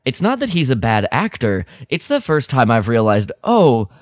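A man's voice: medium pitch, big pitch swings, moderate pace, no echo, studio quality. A man co-hosting a podcast in casual chat, talking with enthusiasm and emphasis. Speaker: podcast co-host. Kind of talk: casual chat about a radio drama.

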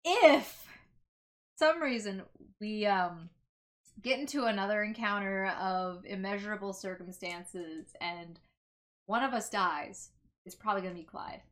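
A woman's voice: medium pitch, a little slow. A woman playing a tabletop game, talking in casual, playful banter.

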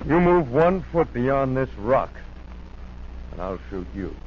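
A man's voice: mid-range voice, very animated, takes it slowly. Commanding radio-drama posse lawman, swooping delivery. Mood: firm. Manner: urgent, authoritative, barking orders. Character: commanding, level-headed lawman leading a hound posse. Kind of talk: 1940s radio acting. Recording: noisy, muffled 1940s radio recording.

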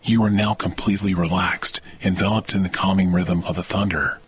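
A man hosting a guided sleep meditation, speaking in a soothing, measured, scripted delivery. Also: steady tone, breathy edge, faint music behind. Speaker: meditation podcast host. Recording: thunder ambience under the voice. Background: thunder rumbles.

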